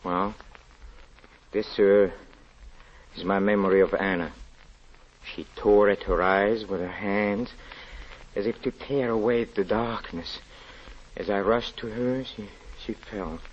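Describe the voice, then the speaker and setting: An actor playing a haunted, grieving European husband confessing a tragedy, halting, stammering and pleading in theatrical 1940s radio drama style. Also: male voice, lowish voice, steady tone. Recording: muffled, noisy old radio recording.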